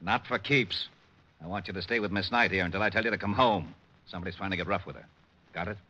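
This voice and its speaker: A man with an American accent playing a gruff, sarcastic, exasperated homicide lieutenant in an old radio drama. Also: sits low, fast talker, sharp tone. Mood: brusque. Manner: sarcastic, brusque. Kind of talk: 1940s radio detective acting. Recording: muffled old-time radio recording.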